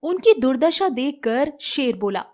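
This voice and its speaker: A female storyteller with a high-pitched voice reads a scripted children's fable. She narrates the animal tale as a moral story. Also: big pitch swings, a little slow, a little mellow.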